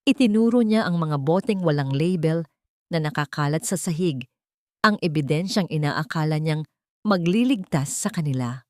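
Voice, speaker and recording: Woman reading a dramatic audiobook, lowish voice, very dry recording. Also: darkish tone, no room sound. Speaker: audiobook narrator. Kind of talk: dramatic scripted story narration.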